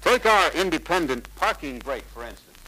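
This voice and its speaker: Young male salesman: polite, persuasive, forceful bright voice, faint noise of an old 1950s film soundtrack. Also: on the high side, big pitch swings, normal speed, rough recording. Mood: friendly, confident. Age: young adult. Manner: deferential, persuasive, earnest. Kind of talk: 1950s sales pitch acting.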